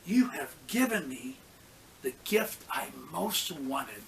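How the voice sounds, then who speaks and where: A man's voice: fairly high pitch, sing-song, slow, a little hiss or hum, exaggerated voice, poor audio. A man voicing an eager, affectionate young village girl in a storybook, with wide-eyed, tender exclamations. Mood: grateful, tender.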